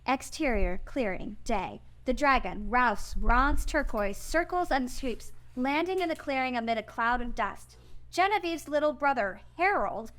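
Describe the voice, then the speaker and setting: Casting: female narrator with a fairly high voice, reading scene directions for a screenplay table read. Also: fairly bright tone.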